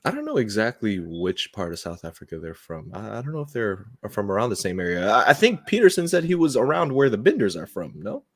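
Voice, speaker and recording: A man with a lowish voice hosts a podcast, swinging his pitch up and down as he talks. His delivery is slangy and excitable, full of casual asides. The sound is dry and close. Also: brisk, few pauses, no echo. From United States. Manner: slangy, excitable, laughs easily. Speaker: podcast host.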